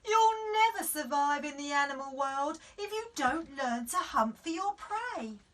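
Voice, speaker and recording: A woman's voice: sits high, animated, a little slow, fairly bright tone, distant mic. A woman voicing a stern, worried mother lioness in a storybook, speaking emphatically.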